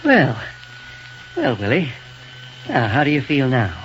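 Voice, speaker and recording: A man playing a kindly, cautious doctor in a 1930s radio serial, soothing and deliberate, on a noisy old broadcast. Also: mid-range voice, wildly swooping pitch, fairly bright tone, highs cut off, soft background music. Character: kindly, cautious doctor tending a hurt boy.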